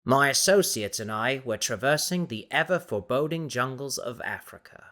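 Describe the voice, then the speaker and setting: A man playing a big, unflappable explorer in an audiobook, talking in a measured, pedantic way. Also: mid-range voice, lively intonation, fairly bright tone, natural voice. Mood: grave recollection. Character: big, unflappable male explorer, learned and matter-of-fact.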